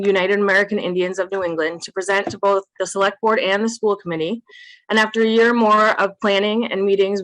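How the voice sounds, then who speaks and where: A woman gives a prepared committee announcement over a remote call. Her voice sits at a medium pitch, and the sound is dry with almost no room echo. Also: a bit bright. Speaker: subcommittee representative. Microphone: video call.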